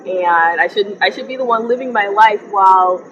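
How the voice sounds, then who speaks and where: A young woman from the Bahamas, sharing her personal faith story in an interview, earnest and reflective with frequent pauses. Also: medium pitch, fairly bright tone, muffled recording, faint music behind. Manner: earnest and reflective, often pausing with ums. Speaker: interview guest. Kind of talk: personal testimony in conversation.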